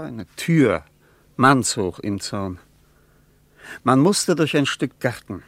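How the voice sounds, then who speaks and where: A melancholy former soldier, voiced by a male actor, drifts through memories in a halting, wistful way. His voice sits at an ordinary middle pitch and sounds close and dry. It has the feel of a vintage studio radio drama. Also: no echo, close to an everyday voice. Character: melancholic German ex-soldier revisiting wartime memories. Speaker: character in a radio play. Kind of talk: 1950s German radio drama acting.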